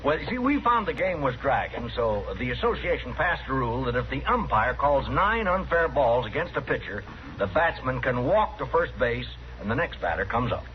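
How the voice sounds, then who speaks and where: An actor playing a slick, cocky traveling ball team boss, glib and defensive, in an old-time radio western. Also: male voice, mid-range voice, big pitch swings, normal speed, close to an everyday voice. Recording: dull, noisy vintage radio recording.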